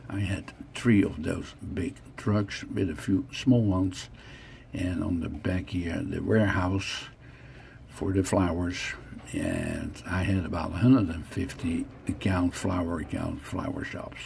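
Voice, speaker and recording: Deep gravelly Dutch man in his sixties. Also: lively intonation, slow, darkish tone, a little hiss or hum. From the Netherlands. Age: 60s. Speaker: narrator of own life story. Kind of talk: personal narration over photo slideshow.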